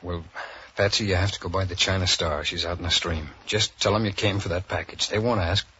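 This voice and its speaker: A man's voice: low voice, fairly even intonation, a little fast, bright, forceful tone, slight background noise. An American actor playing a down-on-his-luck ex-convict in a 1940s radio drama, coaxing an old pal in a hesitant, evasive way. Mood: pleading, evasive. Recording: dull vintage radio recording.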